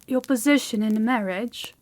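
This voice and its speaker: A woman's voice: a little high, moderate pace, natural voice. A woman playing a commanding, haughty young princess in a scripted fantasy audio roleplay, speaking in an imperious, condescending way. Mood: resolute.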